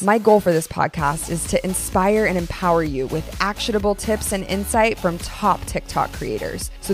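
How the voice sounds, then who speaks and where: A woman giving a polished, scripted podcast introduction. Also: fairly low pitch. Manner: polished, promotional read. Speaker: podcast host.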